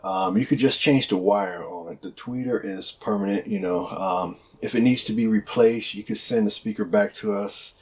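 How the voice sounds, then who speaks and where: Low-pitched man explaining a product casually. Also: moderate pace, few pauses. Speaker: product presenter for a loudspeaker maker.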